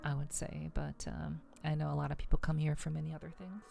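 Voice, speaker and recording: Low, creaky, soothing woman streamer. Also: over music. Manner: relaxed, unhurried, halting delivery. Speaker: VTuber livestream host. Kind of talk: casual ASMR livestream chat with viewers.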